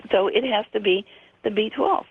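A woman's voice: medium pitch, measured pace, husky. A woman in her sixties, a radio guest, explaining things patiently with frequent hesitations over a phone line. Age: 60s.

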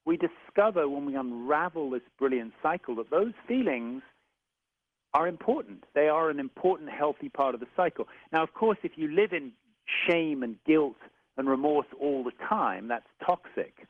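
A man's voice: on the high side, lively intonation, moderate pace, no echo. A man with a British accent, an author talking about his book in a radio interview in a reflective, searching way. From England. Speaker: author guest. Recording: phone-like radio audio.

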